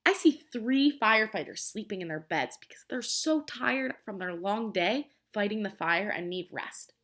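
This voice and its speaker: A woman teacher reading a picture book aloud to young children, explaining simply and prompting them with questions in an expressive way. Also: mid-range voice, normal speed. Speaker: preschool teacher.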